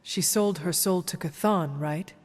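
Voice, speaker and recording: A woman playing an earnest, solemn warrior heroine in a video game, speaking in a formal, measured way. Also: lowish voice, a little mellow, in a large hall. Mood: thoughtful. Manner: formal, measured, avoids contractions. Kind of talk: video game character acting.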